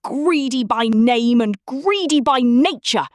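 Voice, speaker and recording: A woman voicing a huge, stern male giant for a children's storybook, with a booming, bellowing delivery. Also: animated, slow, dry recording, clean studio sound. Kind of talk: children's storybook character reading.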